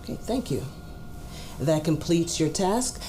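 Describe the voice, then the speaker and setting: A woman's voice: sits low, animated, unhurried, dark tone, slight background noise. A woman playing a formal, courteous doctor giving instructions as an examiner. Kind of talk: scripted exam demonstration.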